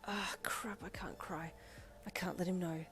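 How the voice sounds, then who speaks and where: A woman doing a scared, hushed teenage girl. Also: mid-range voice, unhurried, gravelly, faint music behind. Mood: panicked, hushed. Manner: hushed, shaky inner thoughts. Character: frightened teenage girl hiding abuse.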